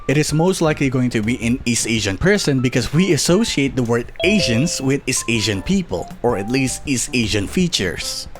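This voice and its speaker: A young man from Indonesia, doing a casual commentary vlog with joking, self-mocking asides and frequent laughs. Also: medium pitch. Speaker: YouTuber.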